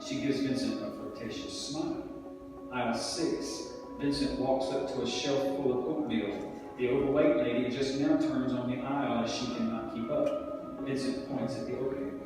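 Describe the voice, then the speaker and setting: A man's voice: mid-range voice, fairly even intonation, moderate pace, distant mic. A man reading stage directions as scripted narration at a table reading. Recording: very echoey hall from afar, music.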